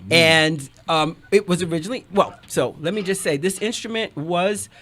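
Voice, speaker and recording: An older woman, a singer, chatting and telling stories in an animated way as an interview guest. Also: fairly low pitch, normal speed, slight background noise. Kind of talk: casual interview chat.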